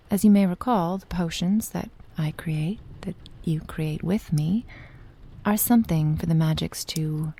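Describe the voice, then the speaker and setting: Low, breathy ancient witch, acted by a woman. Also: exaggerated voice. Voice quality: soft, breathy, often dropping to a whisper. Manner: slow, formal archaic phrasing, deliberate pauses. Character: ancient possessive witch, tender, wary, loving mentor. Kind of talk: ASMR roleplay, soft intimate acting.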